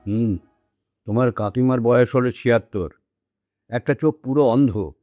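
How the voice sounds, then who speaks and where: A man's voice: medium pitch, moderate pace, dry recording, lightly acted. Man acting hesitant, weary old man, muffled audio. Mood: weary sorrow. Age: older. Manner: hesitant, repeats his words. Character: anxious, lonely, frail old man, hesitant and courteous. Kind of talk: Bengali radio drama acting. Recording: dull lo-fi audio with background music.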